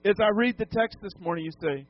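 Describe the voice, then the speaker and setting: High-voiced pastor preaching with emphasis. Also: male voice, animated, fast, no room sound, dull audio. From New England. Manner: emphatic, repeats phrases, asks the congregation questions. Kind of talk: sermon. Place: church sanctuary.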